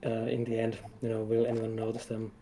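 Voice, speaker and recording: A man chatting casually as a guest game developer, explaining things in a halting, hesitant way. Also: fairly low pitch, monotone, mellow. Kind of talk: casual chat.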